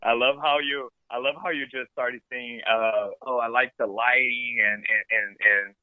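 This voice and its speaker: A man from Brazil with a Brazilian Portuguese accent, a film director being interviewed, talking in a playful, animated way with halting restarts. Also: medium pitch, no room sound. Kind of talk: casual interview chat. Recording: dry tinny phone-like line.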